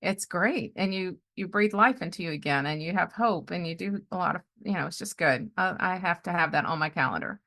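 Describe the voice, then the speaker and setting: A middle-aged woman hosting a podcast in a casual, animated chat, often breaking into easy laughter. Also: on the low side, a little fast, few pauses. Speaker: podcast host.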